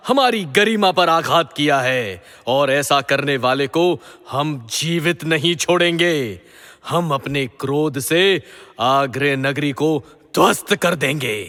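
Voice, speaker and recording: A proud god king of mythological radio drama declaims in a slightly high, bright male voice. His delivery is haughty and theatrical. The sound carries long hall reverb. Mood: vengeful. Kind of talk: Hindi mythological audio drama acting.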